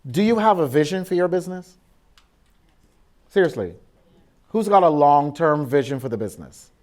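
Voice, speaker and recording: A man's voice: a little high, animated, normal speed, a little mellow. A middle-aged man from Barbados with a Barbadian accent, giving a blunt, sassy conference keynote full of joking asides. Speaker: keynote speaker. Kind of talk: lively conference keynote with audience interaction.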